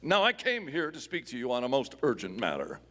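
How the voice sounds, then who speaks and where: A man's voice: medium pitch, huge pitch swings, brisk, a bit bright. A man playing a noble, urgent masked hero in a live Western radio play, speaking formally and firmly in an echoey hall. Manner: formal, declamatory, earnest. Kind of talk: live Old West radio play acting.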